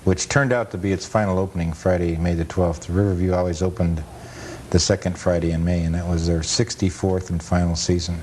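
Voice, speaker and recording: A man's voice: low voice, level tone, fairly quick, a little mellow. An American man, a guest on a television interview, explaining things in a plain, matter-of-fact, conversational way. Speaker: interview guest, photo historian and author. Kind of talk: casual explanatory talk. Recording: noisy 1980s cable TV recording.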